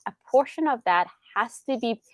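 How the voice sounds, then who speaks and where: A woman's voice: on the high side, lively intonation, normal speed, no room sound. A young adult woman from Iran, a business attorney, explaining in casual interview chat with lots of rhetorical questions.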